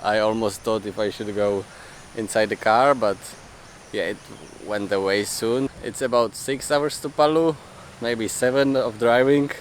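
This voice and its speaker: A man talking casually and unscripted as a travel vlogger, haltingly thinking aloud as he goes. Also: fairly low pitch, fairly even intonation. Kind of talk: casual, unscripted vlog talk. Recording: noisy outdoor and in-car vlog audio.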